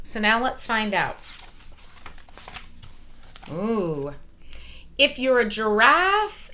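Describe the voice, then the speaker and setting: A woman in her forties reads a children's picture book aloud in a bright, forward voice. Her pitch moves up and down in lively swings, and she takes her time over the words. She speaks like an elementary teacher, stressing key words for young listeners. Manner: expressive, emphatic, question-asking, child-directed delivery. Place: small furnished room at home, indoors. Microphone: webcam or laptop microphone at arm's length.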